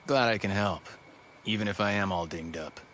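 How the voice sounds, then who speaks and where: An actor playing an earnest, helpful informant in a video game cutscene. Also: male voice, on the low side, moderate pace, fairly bright tone, a little hiss or hum, close to an everyday voice.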